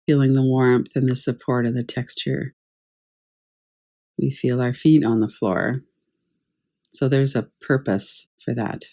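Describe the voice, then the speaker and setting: A woman teaching guided meditation in a calm, measured, gently instructive way. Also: rumbling low. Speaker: yoga nidra meditation teacher.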